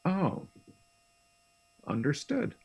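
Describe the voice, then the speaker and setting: Husky man playing an apologetic husband. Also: fairly high pitch, big pitch swings, drawn-out words, a little mellow, full character voice. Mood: playful. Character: apologetic, affectionate husband, gently joking. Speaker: actor. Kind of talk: radio drama acting.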